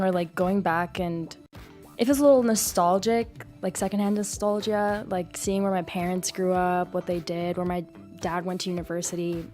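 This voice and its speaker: A woman's voice: mid-range voice, darkish tone. Giggly young American woman, hesitant. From Queens, New York City, USA. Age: young adult. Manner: hesitant, giggly, filler-heavy. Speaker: exchange student guest. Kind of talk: casual chat.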